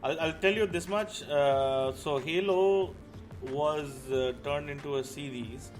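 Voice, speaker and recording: A man's voice: on the high side, takes it slowly. Indian man hosting, music playing behind. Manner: steers the group back to the topic. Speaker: host of a gaming discussion show. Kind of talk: casual panel discussion.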